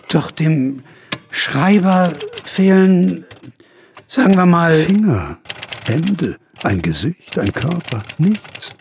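A man's voice: mid-range voice, creaky, noisy background. An actor playing an agitated, sardonic man who narrates his visions to the listener in a theatrical radio play monologue.

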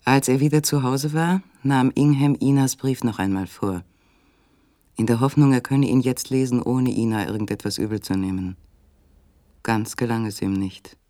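A male narrator reads literary prose for a radio drama in a measured, sober manner. His voice is mid-pitched and recorded very dry. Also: warm tone, dry recording.

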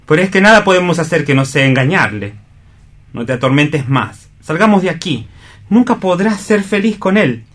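A tormented young man in love in a radio drama. His voice is fairly high for a man. He speaks earnestly and emphatically, pleading in a melodramatic way. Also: big pitch swings, normal speed, fairly bright tone. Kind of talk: staged radio theatre reading.

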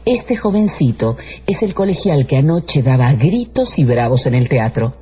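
A man's voice: mid-range voice, sing-song, normal speed, a little mellow, husky. A man narrating a scripted radio drama in a storytelling role. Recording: dull radio recording with music.

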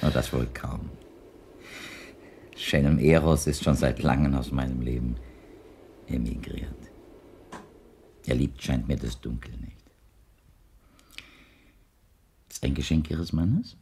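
An actor playing an old blind gentleman in a radio drama, courtly and gallant, with ornate, formal phrasing. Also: extremely low pitch, measured pace, creaky, long pauses, slight background noise, heavily acted. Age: older. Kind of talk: German radio drama acting.

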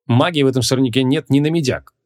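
A man voicing an imperious, scornful ancient mage in an audiobook, curt and commanding, with a hoarse edge. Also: mid-range voice, fast, darkish tone, lightly acted.

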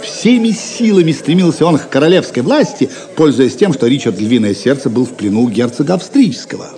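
A man's voice: medium pitch, big pitch swings, brisk, warm tone. A man giving dramatic scripted narration for an audio drama. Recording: crowd noise behind. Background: crowd of spectators.

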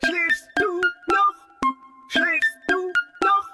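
A woman's voice: extremely high pitch, steady tone, very slow, music in the background, full character voice. A woman voicing a tiny, chirpy, insistent talking alarm clock in a children's audio play, singsong and repetitive. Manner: singsong, repeating each phrase.

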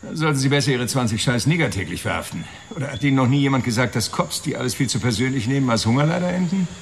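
An actor playing a slick, crude, cynical fixer, talking tough and coarse in a noir radio drama. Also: male voice, mid-range voice, lively intonation, racing, creaky, a little hiss or hum. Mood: sneering, hostile.

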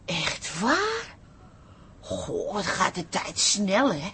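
An actress playing a down-to-earth, exasperated wife in a comic radio drama, speaking bluntly and colloquially. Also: female voice, mid-range voice, huge pitch swings, extremely slow, fairly bright tone, husky, full character voice. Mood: puzzled.

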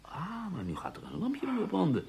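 An actor playing a sarcastic, needling husband with a husky voice in a radio play. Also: male voice, high-pitched, wildly swooping pitch, brisk, darkish tone, heavily acted. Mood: sarcastic accusation. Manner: sardonic, mocking pet names. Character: sarcastic husband, needling, incredulous, coolly accusing his wife. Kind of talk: Dutch radio play dialogue.

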